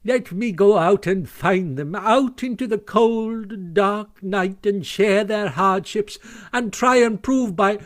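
A high-pitched, boastful storybook toad, played by a man. He is melodramatic and excitable, hitting his words with theatrical emphasis. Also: moderate pace, few pauses. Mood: tearful remorse. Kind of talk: storybook character voice.

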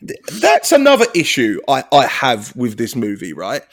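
Lively sweary man chatting. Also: medium pitch, moderate pace. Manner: sweary, laughing, rambling with restarts. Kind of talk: casual comedy chat.

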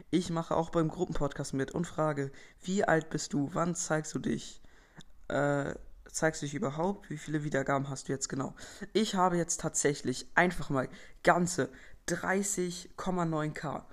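A young teenage boy from Berlin hosts his own podcast, working through listener questions one by one. His voice sits a little high, and he rambles casually, full of fillers and slangy asides. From Berlin, Germany. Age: young teen boy, entering eighth grade. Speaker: podcast host. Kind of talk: casual Q&A, reading out listener comments.

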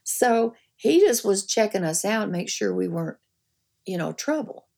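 Gravelly-voiced older woman telling stories. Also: mid-range voice, a little slow. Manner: animated, folksy anecdotes. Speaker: author and guest. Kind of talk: storytelling in conversation.

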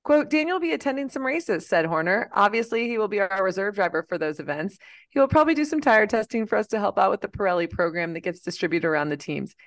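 A woman's voice: mid-range voice, lively intonation, quick pace. A woman co-hosting a casual podcast, reading news items aloud and joking about them. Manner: reads news aloud, then jokes about it. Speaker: podcast co-host.

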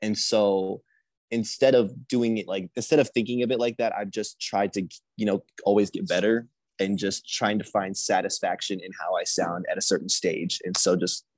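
A man talking about his singing in a casual conversation, soft-spoken and self-deprecating, with plenty of fillers. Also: lowish voice, fairly even intonation, normal speed, no room sound. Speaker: singer guest.

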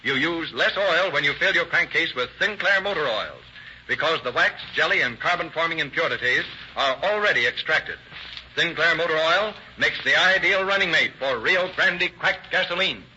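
A man's voice: on the high side, expressive, normal speed, pushed and bright. A man announcing in a polished, emphatic 1930s radio style, reading scripted narration and sponsor copy. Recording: muffled, hissy old radio recording.

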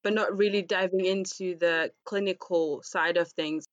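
A woman's voice: fairly low pitch, steady tone, normal speed, dry recording, dull audio. A woman hosting a podcast, speaking as an interviewer who introduces and questions a guest.

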